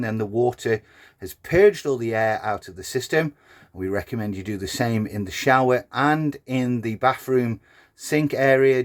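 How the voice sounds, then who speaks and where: A man presenting an instructional video, explaining things step by step in an unscripted, hands-on demonstration. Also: lowish voice.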